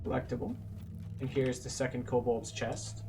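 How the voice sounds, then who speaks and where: A man's voice: mid-range voice, little pitch movement, moderate pace. A man giving casual, matter-of-fact playthrough commentary as a tour guide, explaining things as he goes. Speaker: video game tour guide. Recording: distant mic, loud game music.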